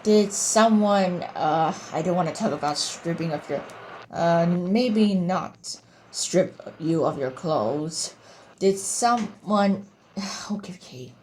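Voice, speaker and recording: A woman giving casual gameplay commentary as a gaming video host, halting and often rephrasing herself. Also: fairly low pitch, animated, a little hiss or hum, rough recording. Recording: loud game music over voice. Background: video game music.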